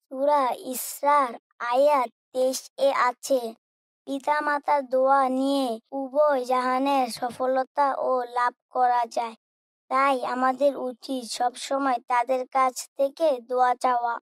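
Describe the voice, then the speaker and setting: A woman's voice: high-pitched, level tone, a little slow, dry recording. A woman voicing a polite, pious schoolboy in an Islamic cartoon, speaking earnestly and respectfully. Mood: earnest, consoling. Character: pious, polite, gentle schoolboy son, Raihan. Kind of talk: scripted Islamic cartoon dialogue.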